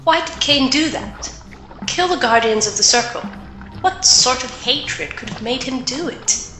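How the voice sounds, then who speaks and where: A thoughtful young adventuress acted by a woman in a fantasy audio drama. The voice is crisp-edged, bright and a little high, questioning and earnest in literary phrasing, with music underneath. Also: lively intonation, unhurried, full character voice.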